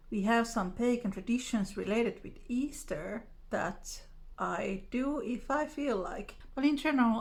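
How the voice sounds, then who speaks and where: A woman vlogger with a Finnish accent talks casually to her viewers. Her medium-pitched voice has a noticeable creak and fry, and she takes her time as she speaks in her second language. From Finland. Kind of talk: casual chat to camera, answering tag questions.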